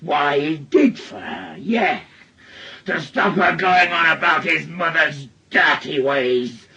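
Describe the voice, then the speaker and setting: An actor playing a bitter, menacing wronged husband in a radio drama, with a Northern English accent and a goading, rambling way of telling things. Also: male voice, fairly high pitch, animated, takes it slowly, pushed and bright, slightly rough, lo-fi sound, full character voice. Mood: accusing, anguished. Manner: goading, rambling storyteller with taunting tag questions. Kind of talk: naturalistic radio drama acting.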